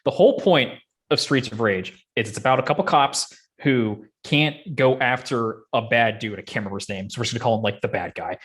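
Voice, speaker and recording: A man's voice: medium pitch, fairly quick, hardly any pauses, fairly bright tone. A man in casual chat as a podcast co-host, talking like an enthusiastic fan with plenty of slang. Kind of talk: casual chat about video game movies.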